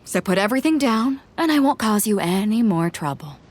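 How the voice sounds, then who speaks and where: A woman's voice: medium pitch, animated, brisk, lightly acted. A woman voicing a cocky, commanding pirate captain in a video game, curt and teasing, with music behind her. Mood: businesslike. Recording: background music under the voice.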